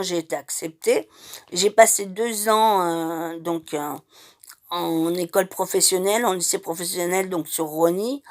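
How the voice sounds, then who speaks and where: French-speaking woman telling her story. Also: fairly low pitch. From Madeira, Portugal. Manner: hesitant, many fillers and restarts. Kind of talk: personal testimony, unscripted.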